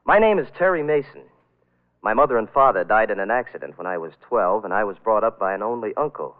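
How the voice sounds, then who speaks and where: Casting: actor as haunted male storyteller, lowish voice with big swoops, brooding vintage radio drama. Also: expressive, quick pace, natural voice. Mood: reflective. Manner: brooding, dramatic, hesitant musing. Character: haunted young man recounting an eerie personal tale. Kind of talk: 1940s radio drama first-person narration. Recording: tinny vintage radio broadcast.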